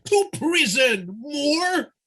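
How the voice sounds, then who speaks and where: A woman as an outraged noble, high and forceful. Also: sing-song, extremely slow, full character voice. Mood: furious. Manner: declamatory, forceful theatrical delivery. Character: outraged, aggrieved noble parent, accusing and imperious. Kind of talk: Shakespearean audio drama acting.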